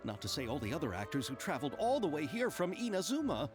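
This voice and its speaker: Earnest, chatty young game character: highish pitch, hugely lively intonation, polite, theatrical enthusiasm. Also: male voice, fast talker, over music. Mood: worried, polite. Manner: effusive, theatrical, explanatory. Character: excitable, polite young male festival organiser, chatty and earnest. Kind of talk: video game character acting.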